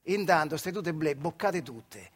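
A man with a central Italian accent from the Marche, performing a theatrical radio monologue in colloquial dialect with dramatic pauses. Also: on the high side, lively intonation, breathy edge. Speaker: stage actor and storyteller performing a monologue.

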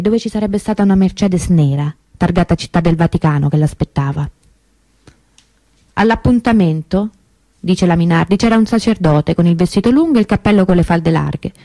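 An actress playing the grieving, bitter sister of a kidnapped girl in a radio monologue, measured and emphatic. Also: fairly low pitch, warm tone, no room sound, close to an everyday voice.

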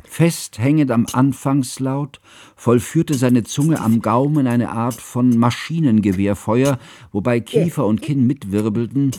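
An actor playing a measured, wry narrator who speaks in precise, bookish literary prose in a radio play. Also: male voice, medium pitch, mellow, no room sound, close to an everyday voice. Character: male narrator recalling his eccentric teacher, measured and wry.

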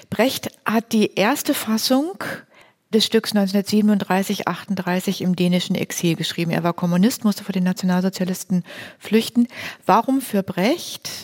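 A woman's voice: medium pitch, darkish tone. A woman hosting a radio-style interview, summarizing in an orderly way and guiding with questions. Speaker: host.

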